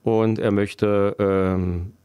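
A middle-aged man from Germany answering interview questions as a local politician, explaining deliberately and haltingly with dry irony. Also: on the low side, little pitch movement, mellow, clean tone, no echo. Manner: deliberate, halting, dryly ironic. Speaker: local politician interviewed, road planner by profession.

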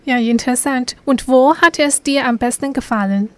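A woman's voice: high voice, moderate pace, fairly bright tone. A woman hosting a magazine programme, presenting from a script and putting questions to guests. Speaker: radio magazine host.

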